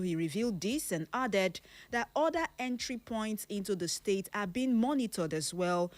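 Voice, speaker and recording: A woman's voice: mid-range voice, dry recording. A Nigerian woman hosting a radio health show, chatty and jokey, mixing casual banter with news updates. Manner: chatty, jokey, self-praising. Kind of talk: lively radio talk and news reading. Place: radio studio.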